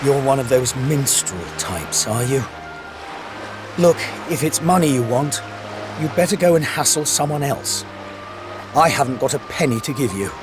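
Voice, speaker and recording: A man voicing a glum, worried male blacksmith who speaks slowly and warily, in a video game cutscene. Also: mid-range voice, lively intonation, natural voice. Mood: gruff. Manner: glum, wary, slow storytelling. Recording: game audio with loud music behind.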